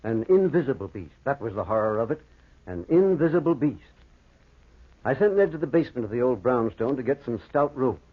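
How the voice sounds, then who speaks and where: Earnest doctor on tinny old radio. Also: male voice, mid-range voice, big pitch swings. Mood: grave dread. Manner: dramatic, deliberate storytelling. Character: earnest, excitable older male doctor telling a ghost tale. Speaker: narrator and lead actor. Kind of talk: old-time radio horror acting. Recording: thin, noisy vintage radio recording.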